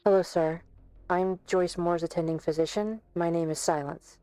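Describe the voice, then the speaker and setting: A calm, formal doctor speaks with quiet resolve in a low register, voiced by a woman. The voice is dry and close to the mic, measured and courteous but unbending. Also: clean tone, dry recording, lightly acted, poor audio. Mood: steady. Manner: composed, polite and firm. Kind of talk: amateur fan dub acting.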